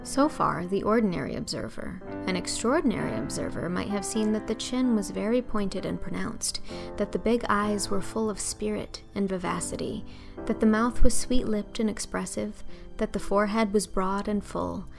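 A woman reading scripted audiobook narration, expressive and carefully phrased. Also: medium pitch, normal speed, gravelly, music in the background.

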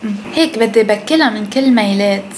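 A woman's voice: medium pitch, fast. A young adult woman with a Lebanese Arabic accent chats casually to camera as a food vlogger, mixing English phrases in and speaking bluntly. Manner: blunt, swears freely. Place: indoors at home, kitchen and living room. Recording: clear background noise.

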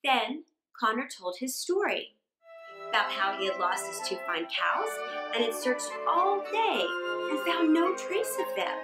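Very animated, high-voiced woman storyteller. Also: music in the background. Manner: expressive, drawn-out emphasis. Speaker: storyteller reading aloud for children. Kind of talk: dramatic scripted story reading. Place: small indoor room. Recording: roomy indoor echo.

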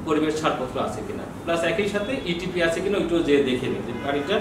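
A man in his sixties with a Bangladeshi accent talks quickly, explaining like an informal teacher who asks and answers his own questions. He is recorded far from the microphone in a strongly echoing office with clear background noise. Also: a little high, expressive. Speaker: customs bond official giving training. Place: small office with bookshelves, indoors. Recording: very echoey, distant mic, noisy room.

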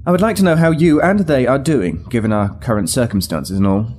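An actor playing a weary but resolute military starship captain in an audio drama, measured, formal and authoritative. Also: male voice, medium pitch, darkish tone, a little hiss or hum, close to an everyday voice. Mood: formal, direct.

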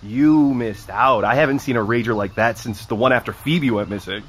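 A man's voice: mid-range voice, normal speed, a bit bright, close to an everyday voice. A man with an American accent playing a wry, wordy teenage stoner in an audio drama. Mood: casual, gossipy. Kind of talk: naturalistic audio drama dialogue. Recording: noisy outdoor ambience behind.

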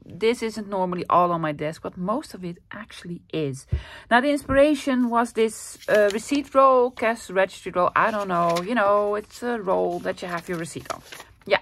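A Dutch woman hosting a casual craft-with-me video, rambling cheerfully with fillers and soft laughs as she shows her supplies. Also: medium pitch, no echo. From Netherlands. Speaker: craft channel host.

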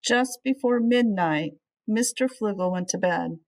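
A middle-aged woman reading a children's storybook aloud expressively, with measured, deliberate phrasing, as a teacher would. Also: mid-range voice, a little mellow.

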